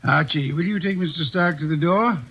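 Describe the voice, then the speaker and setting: A man plays an imperious master detective on an old radio drama, formal and dry, every question precise. His voice sits somewhat high, and the vintage recording sounds dull and lo-fi. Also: brisk, loud music behind the voice, close to an everyday voice. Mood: probing. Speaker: private detective. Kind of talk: 1940s radio acting.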